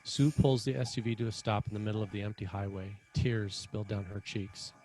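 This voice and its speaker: A man with a fairly low voice narrates a screenplay. He reads the stage action in short, measured, dramatic phrases. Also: flat delivery, a little mellow, a little hiss or hum, poor audio. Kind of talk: scripted screenplay narration, present tense.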